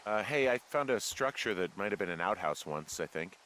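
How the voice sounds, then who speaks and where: Game role: guarded everyman lookout, lowish male voice, dry and understated, faint ambient noise. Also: animated, brisk, pushed and bright, low-quality recording. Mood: unsure. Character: adult man, laconic, guarded, dry-humoured fire lookout. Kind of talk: naturalistic video game dialogue.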